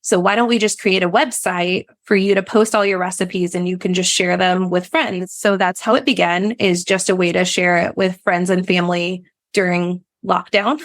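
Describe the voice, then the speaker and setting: Lowish-voiced woman telling her story. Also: steady tone, normal speed, few pauses, dry recording. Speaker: podcast guest, food blogger. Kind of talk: conversational personal storytelling in an interview.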